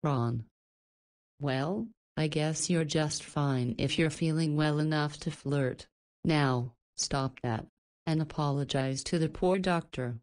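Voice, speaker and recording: A woman's voice: sits low, a little mellow. A woman playing a protective, motherly guardian in a visual novel, with a firm, caring, chiding manner.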